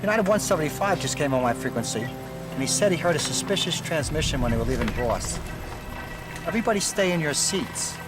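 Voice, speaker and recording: A man's voice: on the high side, lively intonation, a little fast, close to an everyday voice. A man playing a busy, matter-of-fact air traffic controller using clipped procedural talk. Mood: businesslike. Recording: loud music under voice.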